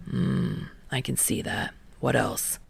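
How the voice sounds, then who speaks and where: Woman doing a young volleyball guy for an audiobook, low n soft, breathy, real gravelly, blunt and kinda nosy. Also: takes it slowly, no echo, heavily acted. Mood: probing. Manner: straightforward, understanding. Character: soft-spoken, blunt, probing young male volleyball player. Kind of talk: fan fiction audiobook dialogue.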